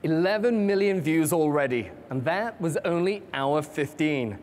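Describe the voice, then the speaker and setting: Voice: medium pitch, expressive, unhurried, darkish tone, faint music behind. A host giving scripted, upbeat narration that lists upcoming segments of a broadcast. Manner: upbeat promotional delivery, listing upcoming segments. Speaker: host of a live-streamed broadcast.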